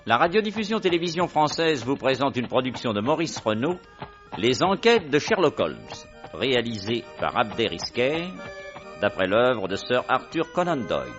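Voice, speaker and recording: A man's voice: mid-range voice, sing-song, moderate pace, fairly bright tone. A man announcing the opening credits of a radio programme in a formal, scripted style. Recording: dull old broadcast with music. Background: music.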